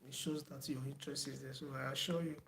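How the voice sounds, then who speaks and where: A soft-spoken man closing an online driving theory class, talking unhurriedly with many fillers and repeats. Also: medium pitch, level tone, fast, creaky, a little hiss or hum. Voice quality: soft-spoken, says he does not shout. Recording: echoey room with faint background ambience.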